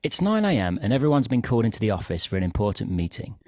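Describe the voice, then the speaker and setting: A man's voice: on the low side, very animated, fast, mellow, no room sound, clean studio sound. A man narrating a scripted comic story.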